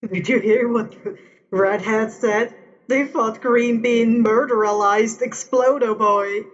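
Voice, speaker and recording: Woman voicing a high, gossipy, echoing ghost. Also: fairly even intonation, slow pace. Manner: slangy, dramatic. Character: gossipy, excitable ghost of a past hero. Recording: dull, long echoing reverb.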